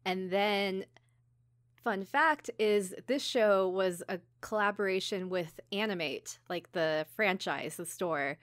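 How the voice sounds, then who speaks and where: A woman's voice: medium pitch, slow. A woman co-hosting a podcast, chatting casually in an apologetic, giggly and hedging manner. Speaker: podcast co-host. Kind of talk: casual chat about anime.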